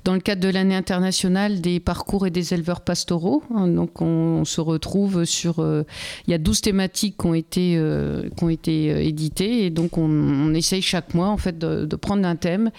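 A woman's voice: lowish voice, steady tone, normal speed, creaky, a little mellow. A woman chatting on local radio, hesitant, with many fillers and restarts. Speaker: guest radio contributor. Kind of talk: casual radio chat.

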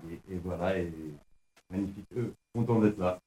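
Low male guest, soft and halting, noise behind.

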